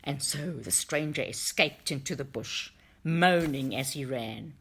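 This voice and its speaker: A woman reading a folktale aloud as expressive storybook narration, in a measured, dramatic way. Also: deep voice, slightly rough. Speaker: reader of a folktale for a book study group.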